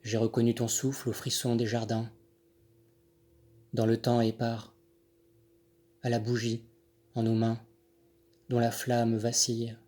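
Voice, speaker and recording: A man reciting his own poem in measured, deliberate phrases with pauses between them. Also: medium pitch, completely flat delivery, darkish tone. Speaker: poet reading own poem. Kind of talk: poetry recitation. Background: Handel music accompanies the reading.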